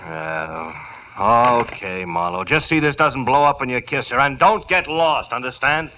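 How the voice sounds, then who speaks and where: An actor playing a gruff, skeptical American homicide lieutenant with a dry, weary, demanding manner in a 1950s radio drama. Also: male voice, medium pitch, animated, measured pace, lightly acted. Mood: stern, grudging. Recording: muffled vintage radio broadcast with noise.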